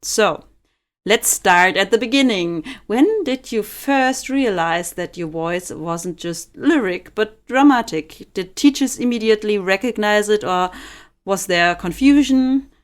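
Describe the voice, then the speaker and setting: A woman co-hosting a podcast about singing, asking measured, clear questions and chatting casually. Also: medium pitch, animated. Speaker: podcast co-host. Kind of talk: scripted interview questions, then casual chat.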